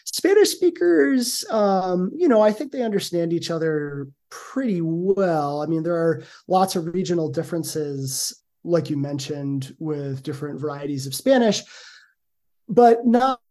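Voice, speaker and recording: Middle-aged male academic guest, mellow tone, hedging and thoughtful. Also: fairly high pitch, animated, unhurried. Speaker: guest, linguistics professor. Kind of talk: conversational interview answers.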